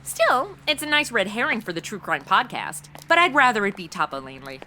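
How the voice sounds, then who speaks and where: A woman's voice: medium pitch, sing-song, fairly quick, sharp tone, slight background noise. A woman playing a chatty, boy-crazy, ditzy would-be investigator in a comedy audio drama, gushing, emotional and flippant as she overshares. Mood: flippant, whiny.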